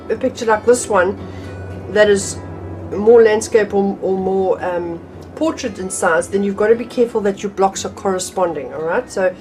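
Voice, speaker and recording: A woman's voice: medium pitch, a little mellow, rough recording. Chatty woman art teacher with a cold. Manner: chatty, friendly, with frequent ums and restarts. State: slightly nasal from a cold. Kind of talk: casual art tutorial. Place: home art room.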